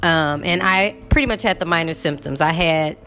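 A woman's voice: sits low, normal speed, sharp tone, faint music behind. A woman in her fifties chatting casually to her viewers, rambling with lots of fillers while getting over a cough. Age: 50s. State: recovering from illness, cough. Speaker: vlogger.

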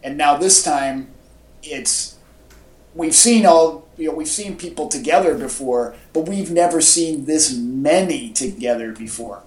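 A man's voice: on the high side, unhurried, darkish tone. A man chatting casually as a podcast host, talking like an enthusiastic fan with plenty of casual slang. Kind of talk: casual pop-culture podcast chat. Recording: echoey, roomy home podcast audio.

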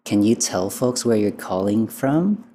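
A woman's voice: a bass voice, animated, a little slow, mellow, a little hiss or hum. A woman in her thirties hosting a podcast, chatting casually in a friendly interview style. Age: 30s. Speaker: podcast host.

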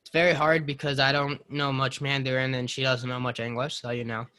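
A man's voice: mid-range voice, flat delivery, fairly quick, pushed and bright, clear, resonant tone. A young American man chatting casually, swearing freely and ranting. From United States. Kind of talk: casual chat.

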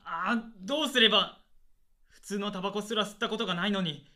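A man's voice: sits high, fairly quick, pushed and bright. A man acting as an earnest stage actor in a comic radio drama, polite but bluntly honest with his director. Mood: melodramatic anguish. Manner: polite, matter-of-fact junior talking back.

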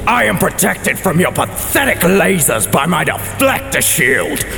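Gravelly, pompous supervillain. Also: male voice, sits high, sing-song, pushed and bright, faint music behind, exaggerated voice. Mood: gloating menace. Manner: grandiose, drawn-out villain declarations. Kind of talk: superhero parody audio drama. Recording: echoey hall reverb.

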